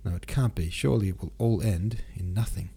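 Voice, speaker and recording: A man's voice: deep voice, fairly quick, dark tone, breathy edge, full character voice. A man reading the part of a distraught young Russian count in an audiobook, anguished and questioning. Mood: anguished, bewildered. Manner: anguished, questioning inner monologue.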